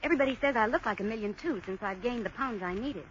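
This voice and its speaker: A woman playing a tired young woman in a 1940s radio commercial, talking quick and chatty. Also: a little high, expressive, slight background noise, lightly acted. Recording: dull lo-fi 1940s radio broadcast.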